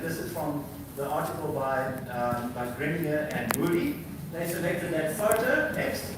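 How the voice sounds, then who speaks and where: A man who is a visiting professor giving a university lecture, explaining slides step by step with frequent fillers. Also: a little high. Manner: explaining slides, reads prompts aloud, many fillers. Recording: very echoey hall, distant mic, background noise.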